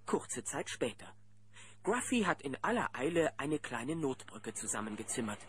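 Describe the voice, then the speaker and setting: A man's voice: medium pitch, big pitch swings, normal speed, husky. A man narrating a scripted children's audio drama as storyteller. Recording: loud music behind narration.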